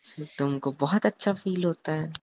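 A young man in an intimate, casual phone chat, telling a romantic scenario haltingly, repeating words and mixing in English. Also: a little high, a little mellow, a little hiss or hum. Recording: muffled lo-fi phone call recording.

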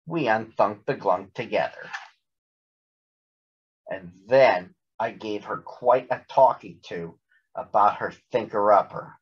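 A man's voice: on the low side, slow, slightly rough. A man in his thirties reading a story aloud for children, recorded at home in a small room. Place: small bedroom at home, indoors. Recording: slightly dull, muffled home recording.

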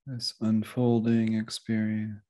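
Slow, mellow man guiding meditation. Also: on the low side, completely flat delivery. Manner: gentle, unhurried, with long pauses. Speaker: meditation teacher. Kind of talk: guided meditation.

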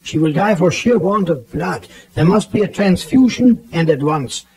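A grave, authoritative male professor of medicine in a radio drama. His voice is mellow and a little high. He instructs decisively in clipped phrases. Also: expressive, moderate pace. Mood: grave, urgent.

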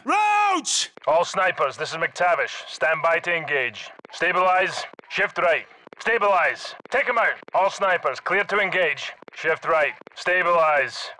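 A man's voice: very high-pitched, pushed and bright, dry recording, heavily acted. A man playing a battle-hardened Scottish special forces squad leader in a video game, giving terse, urgent military orders. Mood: shouting, urgent, then urgent, commanding.

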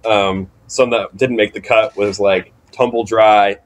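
A young man in a casual interview, telling stories in a halting, loose way with lots of "like". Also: on the low side, steady tone, a little hiss or hum. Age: young adult. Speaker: band drummer, interview guest. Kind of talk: casual chat, storytelling.

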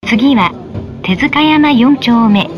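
A woman reading formal, scripted tram stop announcements, politely and with measured, careful enunciation. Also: lively intonation, muffled recording, music in the background. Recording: noisy in-vehicle recording with tram rumble. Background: tram running noise.

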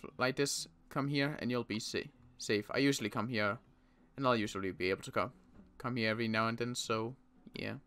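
A young man playing a protective survivor in an in-character roleplay, talking in a halting way with frequent restarts. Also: mid-range voice, fairly even intonation, a bit bright, clean tone. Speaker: roleplay series player.

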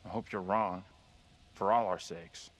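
An actor playing a kind, uneasy young college student in a horror game, speaking earnestly and casually. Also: male voice, deep voice, steady tone, a little slow. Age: young adult. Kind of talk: video game cutscene drama.

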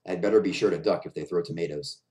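A man's voice: sits low, fast, mellow, pitched below the performer's own voice, close to an everyday voice. A man voicing a gloomy, worried hobo clown who sounds downcast and doubting, in a storybook reading. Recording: roomy echo of a bare room.

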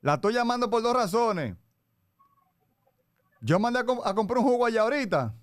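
A man's voice: very high voice, lively intonation, a little fast, dry recording. A young Dominican man hosting a radio show, teasing and insistent in comic phone-prank banter, on a close studio microphone. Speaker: radio show host making a prank call. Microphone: close broadcast microphone with headset.

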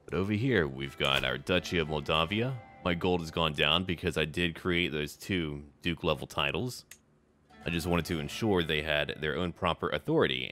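A man's voice: a bass voice, a bit bright. A man narrating a strategy game in character, musing aloud theatrically in short, clipped phrases over music. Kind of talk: in-character roleplay game commentary.

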